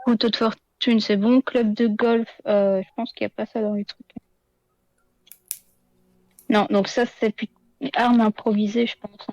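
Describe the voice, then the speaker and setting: A woman's voice: a little high, little pitch movement, a little slow, pauses often, darkish tone, no room sound, muffled recording. A woman acting as game master, hesitant and full of fillers while she looks up rules. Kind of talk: running a tabletop game, checking rules.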